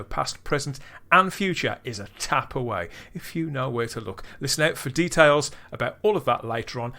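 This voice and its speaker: A man hosting a podcast, chatting casually and delivering upbeat, theatrical links with quick laughs. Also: mid-range voice, fairly bright tone. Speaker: podcast host.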